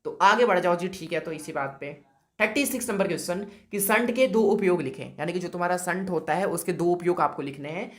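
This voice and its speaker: Hoarse man teaching physics. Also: sits high, fairly quick, a bit bright. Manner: chatty, repeats "okay" and calls students "brother". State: sore throat. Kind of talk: exam-prep lesson.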